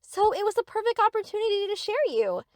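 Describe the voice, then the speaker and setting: Woman acting a high, animated, doting yandere girl. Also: quick pace, no echo, clean studio sound. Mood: obsessive, sweet. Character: sweet, eager, obsessive yandere best friend, young. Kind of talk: anime-style ASMR audio roleplay.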